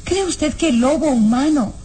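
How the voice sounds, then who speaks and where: A woman's voice: high-pitched, expressive, moderate pace, warm tone. An actress playing an earnest, anxious young noblewoman in a vintage radio serial, with a pleading delivery.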